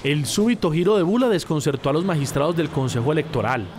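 A man's voice: a little high, lively intonation, fast talker, a crowd in the background. A man with a Colombian accent voicing a news report in an emphatic narrating style. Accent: Colombian Spanish.

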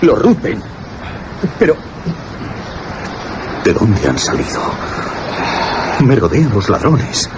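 An actor with an accent from Spain playing an earnest, proud young gentleman in a radio drama, speaking formally and dramatically. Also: on the low side, huge pitch swings, slow pace, pauses often, heavy background noise, full character voice. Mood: urgent.

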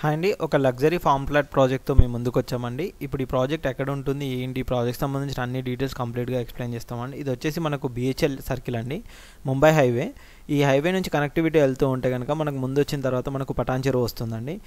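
A man narrating a property tour voice-over in a rapid promotional patter, mixing in English words. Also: mid-range voice, steady tone, a little mellow, soft background music. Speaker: real estate presenter. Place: voice-over, not recorded on site.

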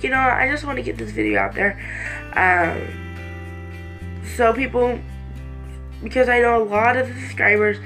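A woman's voice: mid-range voice, a bit bright, poor audio. Young woman rambling, congested sinuses. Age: late teens, almost 18. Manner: easily distracted, hesitant. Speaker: vlogger. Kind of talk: casual rambling chat to camera. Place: small bedroom, indoors.

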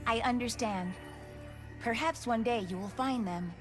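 A woman's voice: a little high, measured pace, natural voice. A woman playing a reserved, self-doubting young robot archivist with precise, formal phrasing in a video game. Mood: gentle. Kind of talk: video game cutscene acting. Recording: music behind.

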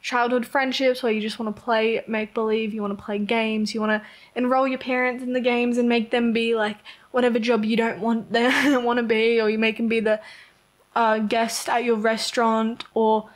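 A young woman with an Australian accent chats away over her gameplay footage, rambling from one thought to the next with plenty of fillers. Her voice sits a little high for a woman. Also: flat delivery, moderate pace. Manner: chatty, rambling, with frequent "like" and small laughs. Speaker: Sims content creator. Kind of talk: casual chat over gameplay. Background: rain heard outside.

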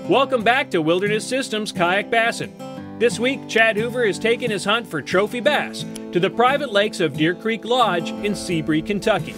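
High-voiced male show narrator. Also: normal speed. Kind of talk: scripted voice-over narration. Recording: voice-over over guitar music.

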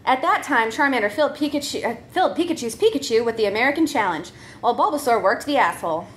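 A woman's voice: fairly high pitch, lively intonation, fast talker, fairly bright tone, slight background noise. A woman reading a comic story aloud as a performer, giggly and prone to breaking up as she goes. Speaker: comedy troupe member reading a story.

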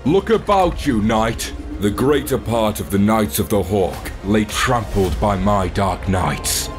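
A man's voice: fairly low pitch, lively intonation, slow, music in the background. A man voicing an arrogant, threatening armored warlord in a fantasy audio drama, speaking with haughty menace. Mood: gloating.

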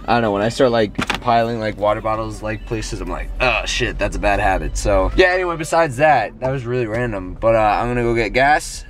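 A man's voice: fairly low pitch, brisk, few pauses, faint music behind. A young man chatting casually to a handheld camera for his vlog, rambling with lots of fillers, first in his bedroom and then in his car. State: groggy and hoarse after waking. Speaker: vlogger. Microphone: handheld camera at arm's length.

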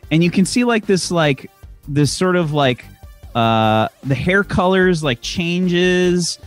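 A young man narrating as game master in a tabletop game, rambling with lots of fillers. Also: on the high side. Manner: rambling, many likes and fillers.

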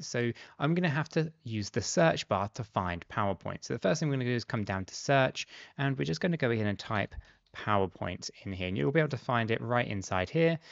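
A man with a gravelly, creaky voice walks through a computer tutorial in a casual, conversational tone. He explains things step by step at a close mic, with a very dry and slightly dull sound. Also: mid-range voice, no echo. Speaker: tutorial host. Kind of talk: casual software tutorial narration. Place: bright high-rise office room, indoors. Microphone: close desk microphone.

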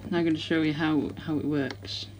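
A man's voice: fairly high pitch, steady tone, fairly quick. British man over heavy radio noise. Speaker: host showing a radio collection. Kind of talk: casual hands-on demonstration. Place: indoors at home, small room. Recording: very noisy home recording with radio static. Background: radio static and clicks.

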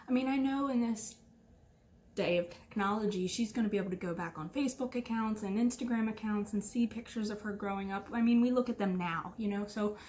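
A woman's voice: on the high side, darkish tone. A woman of thirty-eight hosting her knitting podcast from her living room, chatting casually and rambling with lots of fillers and restarts. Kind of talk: casual rambling chat to camera. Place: living room at home, indoors.

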